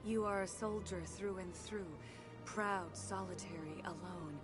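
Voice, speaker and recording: A woman playing a gracious, wise alien consort in a video game, speaking in a formal, measured, solemn way. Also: on the low side, lightly acted. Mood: solemn, prophetic. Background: game music.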